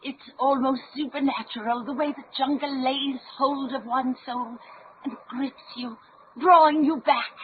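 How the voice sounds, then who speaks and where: High-voiced, determined radio serial heroine. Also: female voice, steady tone, measured pace. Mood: wistful. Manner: earnest, melodramatic, commanding. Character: determined, dramatic young heroine, commanding and awestruck. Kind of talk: old-time radio adventure acting. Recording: tinny band-limited radio drama, background noise.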